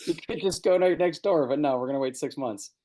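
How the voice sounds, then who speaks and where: A man hosting a podcast over a video call, chatty and quick to laugh, with easy asides. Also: fairly high pitch, dark tone, low-quality recording. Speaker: podcast host. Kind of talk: casual video-call interview.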